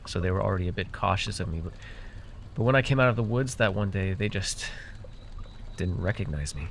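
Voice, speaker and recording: A man's voice: deep voice, fairly quick, creaky, slight background noise. A man playing a proud, easily exasperated magical herbalist in a fantasy roleplay, talking straight to the listener with theatrical airs and sheepish, stammering asides. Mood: pensive, confiding. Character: proud, easily exasperated young magical herbalist who gave away his name.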